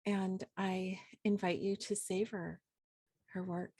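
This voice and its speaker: A woman hosting a poetry reading, giving informal, effusive and reflective introductions with thoughtful pauses. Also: mid-range voice, little pitch movement, darkish tone, breathy edge, no echo, studio quality. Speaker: host and poet. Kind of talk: warm, informal event introduction.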